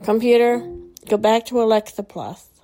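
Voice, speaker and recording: A woman's voice: fairly high pitch, normal speed, dark tone. A woman demoing a smart speaker, giving it short commands and casually explaining what it does. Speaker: presenter demonstrating a smart speaker. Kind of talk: casual demo walkthrough.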